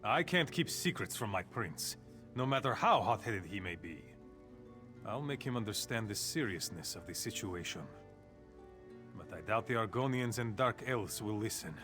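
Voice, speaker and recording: A man's voice: lowish voice, expressive, normal speed, bright, forceful tone, faint music behind. A man playing an earnest, exasperated loyal thane and royal advisor in a video game, speaking with weary concern. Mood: earnest, resolute. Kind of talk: video game character acting.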